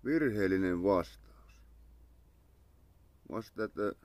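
A man's voice: lowish voice, lively intonation, slow, darkish tone, no room sound. A young man from Finland with a Finnish accent, chatting casually on a live stream outdoors in his yard, thanking followers and muttering to himself. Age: young adult. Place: outdoor yard under a parasol. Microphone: phone camera at arm's length.

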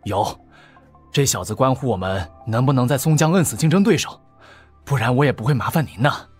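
A man's voice: medium pitch, expressive, a little fast, soft background music, close to an everyday voice. A man playing a scheming, resentful gang fixer who fawns on his betters in an audiobook drama. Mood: fawning, polite. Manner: obsequious on the phone, bitter in private.

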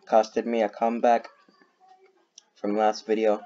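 A young man casually commenting on a racing game, excitable and rambling with lots of fillers and laughs. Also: fairly low pitch, a little slow, muffled recording. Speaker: gaming YouTuber.

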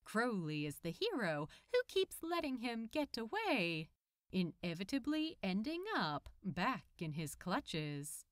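Slow, expressive woman narrator. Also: mid-range voice, no room sound, clean studio sound. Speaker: fan fiction narrator.

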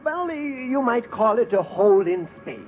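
An actor playing an excitable, scholarly male scientist with a formal, hesitant manner in a 1950s radio serial. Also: extremely high pitch, moderate pace. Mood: thoughtful. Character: excitable scholarly male space scientist, thrilled by his discovery. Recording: tinny 1950s radio recording, music behind.

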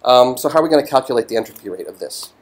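A man giving an informal university physics lecture, halting as he thinks aloud. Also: medium pitch, lively intonation. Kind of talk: lecture voiceover on slides, informal explaining. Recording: roomy echo, faint background noise.

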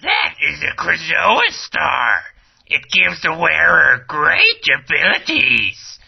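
A woman voicing a trapped, mutated female creature who guards a spell stone in a video game, with wailing, gravelly delivery. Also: sits low, huge pitch swings, slow, exaggerated voice. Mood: bitter. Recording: muffled lo-fi audio.

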